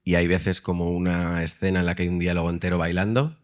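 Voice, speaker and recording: A man's voice: deep voice, little pitch movement, quick pace. A male actor speaking on a live panel, thoughtful and restarting with repeated words. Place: festival press event room.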